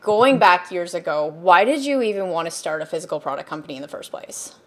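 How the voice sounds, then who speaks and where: A woman's voice: fairly low pitch, expressive, fast, fairly bright tone. Woman podcast host, upbeat and chatty, filler-heavy interview delivery. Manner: chatty, rambling, upbeat, many ums.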